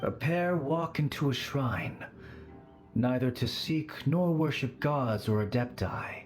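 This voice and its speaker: An actor playing a serene, cryptic, wistful shrine dweller in a video game, speaking formally and poetically. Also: male voice, medium pitch, lively intonation, slow pace, faint music behind. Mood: musing. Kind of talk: scripted video game voice acting.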